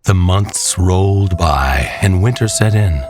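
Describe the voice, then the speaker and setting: A man narrating a scripted horror tale in a dramatic, measured way with pauses. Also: low-pitched. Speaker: storyteller. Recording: music behind the voice. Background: clear background music.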